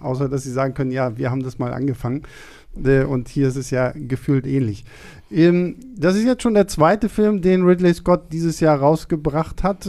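A man's voice: a little high, mellow. A man hosting a film podcast in a studio, chatting loosely with many fillers and hesitations. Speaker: podcast host. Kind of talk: casual film podcast chat.

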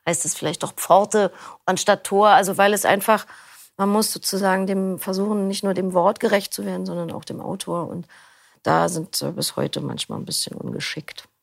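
A woman's voice: mid-range voice, moderate pace, gravelly, dry recording, clean studio sound. A middle-aged woman answering interview questions in a thoughtful, rambling way, often restarting her sentences. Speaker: interview guest, audiobook narrator.

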